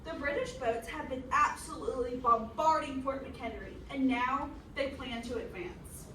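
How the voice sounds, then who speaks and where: A woman's voice: sits high, normal speed, bright, forceful tone. A woman narrating a comic scripted history in a theatrical manner with sardonic asides. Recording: roomy, distant mic, faint noise.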